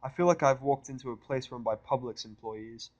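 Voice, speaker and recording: A man's voice: mid-range voice, a little fast, no echo, dull audio. A man narrating first-person fiction with a sardonic, irritable delivery. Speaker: audiobook narrator.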